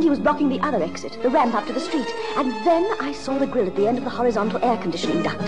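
A woman's voice: fairly high pitch, lightly acted. An actress playing a proud, defiant married society woman with a composed, formal manner in a radio drama.

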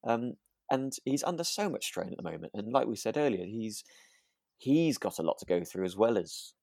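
Creaky-voiced man in relaxed, halting chat. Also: fairly low pitch, no echo, clean studio sound. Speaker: podcast co-host. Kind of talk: relaxed conversational discussion of a radio drama.

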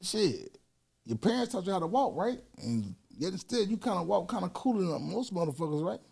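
Animated middle-aged man telling stories. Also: high-pitched, fairly quick, vocal fry, breathy edge. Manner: animated storyteller, loud bursts when quoting himself, frequent laughter. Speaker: podcast host. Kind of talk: casual chat, storytelling.

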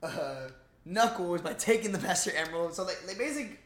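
Young male podcast co-host, slightly high voice, loose slangy rambling chat, roomy echo. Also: animated, fairly quick. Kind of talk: casual movie chat.

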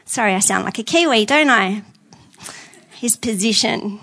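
A woman's voice: a little high, expressive, a little slow, bright, forceful tone. A woman preaching a conversational sermon in a church, earnest, with self-deprecating asides. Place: church auditorium with screens.

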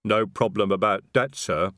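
A stolid troll sergeant, voiced by a man, speaking a little lower than a normal man with a flat, deadpan delivery. The sound is dry and close. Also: moderate pace, no echo, close to an everyday voice.

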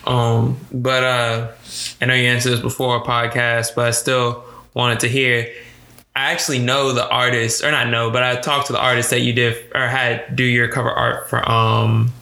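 A man hosting a podcast, asking laid-back, halting questions. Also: mid-range voice, little pitch movement, gravelly, bright, forceful tone. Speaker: podcast host. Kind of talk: casual interview chat. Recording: roomy echo.

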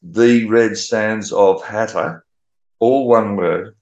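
Low-voiced man, echoey room, earnest interview talk. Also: slow pace, darkish tone. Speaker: author guest. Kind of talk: casual radio interview.

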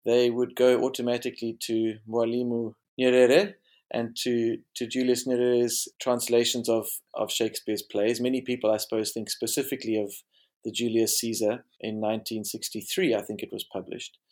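A man's voice: mid-range voice, little pitch movement, moderate pace, darkish tone. A man from Africa, moderating an academic panel with long, carefully framed questions. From South Africa.

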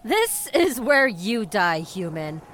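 A woman's voice: mid-range voice, very animated, slow pace, loud music behind the voice. A woman playing a vengeful siren, a menacing and protective sister, in an audio drama. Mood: furious.

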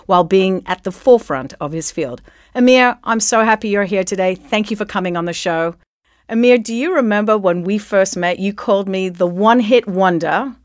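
A woman's voice: medium pitch, no echo, studio quality. A woman hosting an interview podcast, introducing and questioning a guest. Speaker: podcast host.

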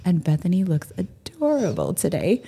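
A woman with a raspy, husky voice co-hosts a podcast in relaxed conversation. Her tone is low and mellow with a gravelly grain. She talks enthusiastically and tends to double up her words. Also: normal speed. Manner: enthusiastic, repeats herself, warm and gushing. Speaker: podcast co-host. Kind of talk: casual chat.

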